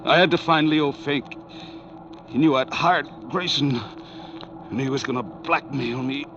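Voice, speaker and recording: A man's voice: mid-range voice, steady tone, unhurried, close to an everyday voice. An American actor playing a bitter, greedy killer who explains his schemes in 1940s radio drama. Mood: bitter, confessing. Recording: noisy, muffled 1940s radio recording.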